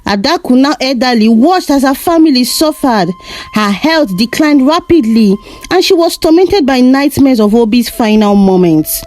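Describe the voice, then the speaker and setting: A woman narrating a scripted folktale as a storyteller, in a measured, solemn manner. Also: high-pitched, expressive, darkish tone.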